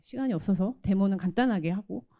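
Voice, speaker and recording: A woman's voice: mid-range voice, quick pace, highs cut off. A woman giving a conversational, explanatory tech product presentation and demo. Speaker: tech product presenter from a company's automation division.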